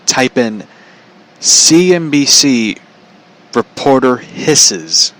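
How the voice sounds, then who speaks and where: A man's voice: medium pitch, fairly bright tone, poor audio. A man telling stories in a casual, rambling, foul-mouthed way as a podcast host, incredulous and repeating himself for emphasis.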